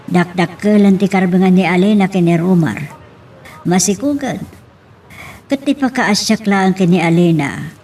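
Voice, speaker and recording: A woman's voice: lowish voice, moderate pace, long pauses, darkish tone, slight background noise, close to an everyday voice. A woman acting an earnest, distressed, pleading woman in an Ilocano romance radio drama. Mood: sorrowful, concerned.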